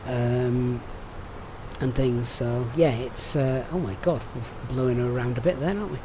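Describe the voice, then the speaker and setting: A man's voice: medium pitch, fairly even intonation, normal speed, noisy background. A man with a Sheffield accent on a live stream, methodically briefing a flight and chatting with viewers between steps. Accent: Sheffield English. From Sheffield, England.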